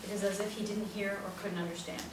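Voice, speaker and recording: A woman's voice: medium pitch, fairly even intonation, quick pace. A woman reading stage directions as scripted narration for a live play reading. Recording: very echoey room, distant mic, noisy.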